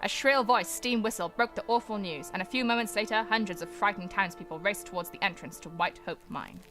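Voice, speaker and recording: An old-time radio serial announcer played by a woman delivers scripted lines quickly and dramatically. The voice is medium in pitch and earnest, declaiming over music. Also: natural voice. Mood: grave, dramatic. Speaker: announcer and narrator.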